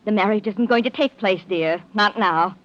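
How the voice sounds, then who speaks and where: An actress playing a scheming, murderous young heiress with menacing mock sweetness in a 1940s radio mystery. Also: female voice, mid-range voice, normal speed, a bit bright, natural voice. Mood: cold menace. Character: cold, scheming murderous young heiress, smug then desperate. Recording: dull, faintly noisy vintage radio recording.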